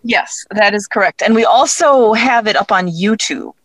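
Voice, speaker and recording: A woman speaking as an interview guest in casual conversation, thinking aloud in a halting way. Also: mid-range voice, fairly bright tone, no echo. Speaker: guest, audio drama creator.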